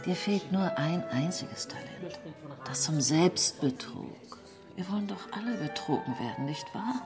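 Flippant self-mocking woman, lowish voice, theatrical, teasing, radio drama, music behind. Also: expressive, a little slow. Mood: wry, amused. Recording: music playing under the voice.

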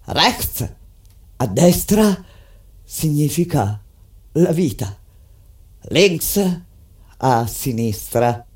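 A woman delivering a dramatic stage monologue of historical testimony, grave and emphatic, pausing between short phrases. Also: low voice. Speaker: stage actress narrating. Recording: loud music under the voice. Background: prominent instrumental music.

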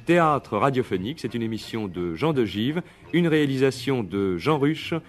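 A man announcing in a formal, deliberate way, reading scripted credits in a vintage radio broadcast. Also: medium pitch, expressive. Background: music.

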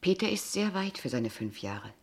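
An engaged, caring kindergarten teacher in a radio play. Her voice sits low for a woman. She talks politely and articulately, in a measured, gently probing way. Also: very animated. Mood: friendly. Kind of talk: naturalistic German radio play acting.

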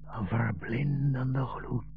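An actor playing a gentle old blind rabbi, wounded and dying, slow and solemn with a gravelly voice, in a 1960s radio drama. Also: male voice, mid-range voice, soft background music. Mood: awed, dying. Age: older. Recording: muffled 1960s radio broadcast recording.

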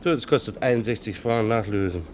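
An actor playing a brisk, unbudging train ticket inspector in a radio play, dryly polite and officious, with a gravelly voice. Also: male voice, on the low side, noisy background, natural voice. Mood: dry, firm. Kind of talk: German radio play acting.

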